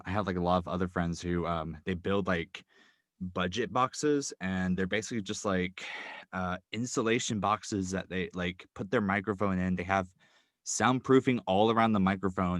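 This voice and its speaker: A man's voice: deep voice, fairly even intonation, moderate pace, no echo. A man talking as a guest in a casual chat, rambling with lots of ums and likes, recording in a small closet with a blanket-wrapped mic. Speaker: podcast guest. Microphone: microphone wrapped in a blanket. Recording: dry, deadened closet sound.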